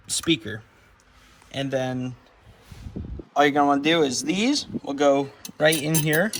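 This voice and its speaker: A man's voice: medium pitch, fairly bright tone. A man giving an informal hands-on tutorial, talking as he works, on a slightly noisy home video with handling clicks. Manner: informal, talking while working with his hands. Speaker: vlogger demonstrating a CB radio installation. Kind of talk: casual hands-on tutorial talk. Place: garage, working at a car.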